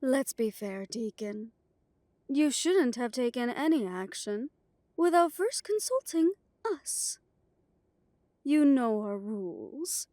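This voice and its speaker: A woman playing an imperious, condescending vampire coven leader in an audio drama, with a measured, haughty delivery. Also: a little high, sing-song, warm tone, dry recording, clean studio sound. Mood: smooth, chiding. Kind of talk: audio roleplay drama acting.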